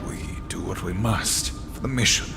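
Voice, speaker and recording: A man's voice: low voice, moderate pace, vocal fry. An actor voicing a grim, duty-bound warrior horseman in a video game, stern, humorless and formal in his speech. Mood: solemn. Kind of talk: video game dramatic acting. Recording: long reverb, loud game music behind.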